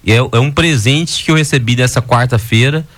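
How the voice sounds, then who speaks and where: Male guest, mid voice, thankful and halting. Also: medium pitch, fairly bright tone, no room sound. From Goiás, Brazil. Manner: grateful, hesitant restarts. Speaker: radio guest.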